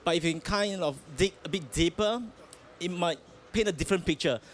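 A man's voice: high voice, moderate pace, slight background noise. A man from Malaysia, a pastor, answering interview questions earnestly, with hesitant restarts and fillers, outdoors at a festival. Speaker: pastor, guest.

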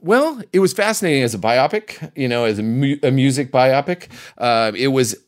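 A man's voice: medium pitch, animated, moderate pace. Male review-show host, casual and chatty, unscripted, rambles and doubles back mid-sentence.

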